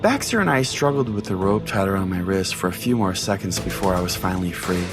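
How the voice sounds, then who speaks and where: Guy as a brash sweary pastor telling a dramatic comedy story, lowish voice, music under it. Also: expressive, brisk. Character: Pastor Jay, brash foul-mouthed pastor and show host. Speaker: narrator and podcast host. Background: prominent music.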